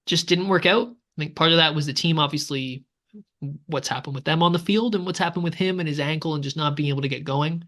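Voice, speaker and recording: Canadian guy co-hosting a sports podcast, kinda high voice, keeps saying right?. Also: fast, fairly bright tone. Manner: conversational, tags statements with "right?". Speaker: podcast co-host. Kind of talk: casual sports chat.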